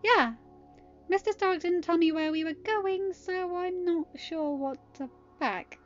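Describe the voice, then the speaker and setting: A woman plays a soft-hearted teenage boy in an audio story, pitched high and earnest. He speaks hesitantly and sincerely, eager but easily worried. Music plays under the slightly dull recording. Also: a little slow. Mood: unsure.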